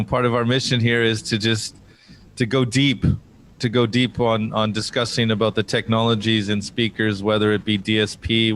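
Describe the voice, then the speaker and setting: A man's voice: on the low side, fairly bright tone, slight background noise. A man hosting a podcast in a casual, conversational interview style. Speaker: podcast host.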